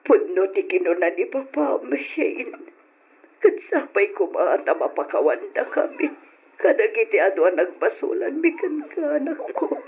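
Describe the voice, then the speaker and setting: A woman's voice: mid-range voice, very animated, measured pace. A woman with an Ilocano accent playing an emotional, fretful elderly mother in an old radio drama style. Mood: remorseful. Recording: dull lo-fi radio drama recording.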